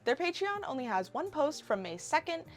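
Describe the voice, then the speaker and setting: Expressive young woman, wry video essay narration. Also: high voice, moderate pace, faint music behind. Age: 20s. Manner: wry, sarcastic asides. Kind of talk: casual scripted video essay commentary.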